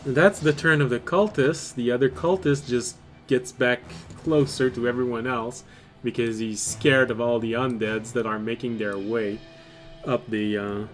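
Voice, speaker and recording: A man narrating a tabletop game as game master, in a halting, deliberate way. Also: mid-range voice. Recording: music behind. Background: background music.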